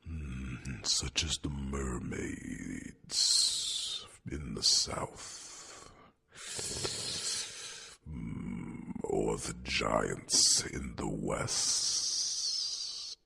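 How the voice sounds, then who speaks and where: Old wise dragon advisor, fantasy ASMR, very husky breathy whisper, crisp bright edge, gentle, deliberate. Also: male voice, exaggerated voice. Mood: calm, reassuring. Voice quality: gravelly, breathy, rumbling whisper. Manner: slow, deliberate, with thoughtful hums.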